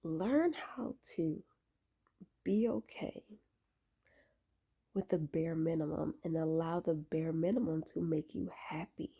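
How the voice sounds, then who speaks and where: A woman's voice: low voice, takes it slowly, creaky, long pauses, warm tone, breathy edge. A woman in her late twenties talking casually and emphatically to camera, giving advice and repeating key phrases for weight. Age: late 20s. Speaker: vlogger giving faith-based lifestyle advice. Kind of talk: casual talk to camera, exhorting.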